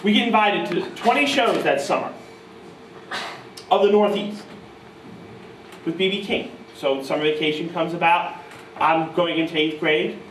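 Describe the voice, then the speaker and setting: A man in his thirties from New York state, telling animated, self-mocking stories to a live audience at a guitar clinic. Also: fairly high pitch, a little slow, pauses often, slight background noise. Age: 30s. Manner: animated, self-mocking, mimics voices in quotes. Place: music store clinic room, audience present. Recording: roomy live sound from far off.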